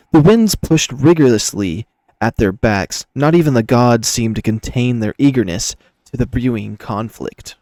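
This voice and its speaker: A man's voice: mid-range voice, darkish tone, no echo. A man narrating a visual novel and commenting on it casually as a gaming video host.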